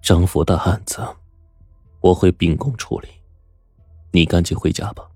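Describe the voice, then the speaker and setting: A man's voice: low voice, level tone, normal speed, a little mellow, no room sound, studio quality, faint music behind. A man voicing a somber middle-aged prefect in a story, speaking with grave, bitter restraint. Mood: cold, measured. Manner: grave, self-mocking, cold.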